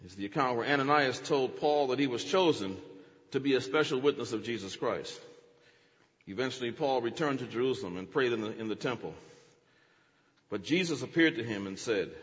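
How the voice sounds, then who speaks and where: A man's voice: mid-range voice, big-room sound, highs cut off. A man preaching a teaching sermon, dramatic, acting out quotes with big swings in his voice.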